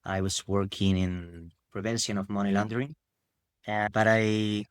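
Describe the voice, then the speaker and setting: A man's voice: fairly low pitch, level tone, normal speed, no room sound, studio quality. A man, a podcast guest explaining things at length and rephrasing as he goes, often adding "no?" at the end of a thought. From Spain. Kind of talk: casual interview answers.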